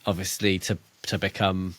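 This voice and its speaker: A man's voice: sits low, flat delivery, normal speed, fairly bright tone, no echo. A man co-hosting a podcast, casually discussing a book and thinking aloud in a tentative, hedging way. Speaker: podcast co-host.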